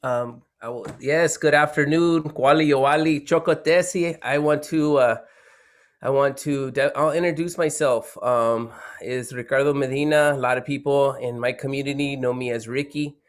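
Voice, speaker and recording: A man from San Diego introduces himself on a panel, speaking haltingly and circling back to restart his thoughts. His delivery is flat, with very little rise and fall in pitch. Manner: halting, many fillers and restarts, warm reflective. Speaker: educator, panel guest. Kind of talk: unscripted introduction.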